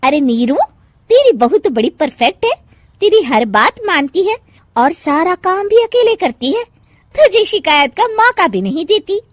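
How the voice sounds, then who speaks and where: A woman voicing a gossipy, teasing middle-aged society friend in a moral story, with an animated, knowing, needling delivery. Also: extremely high pitch, unhurried, no echo, clean studio sound, exaggerated voice. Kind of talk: moral-story character acting.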